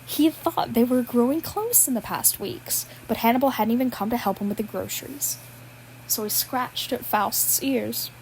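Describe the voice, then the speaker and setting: A woman's voice: fairly high pitch, normal speed, slight background noise, rough recording. A woman reading fan fiction aloud, breaking into laughs as she goes. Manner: laughs at the text while reading. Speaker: podfic reader.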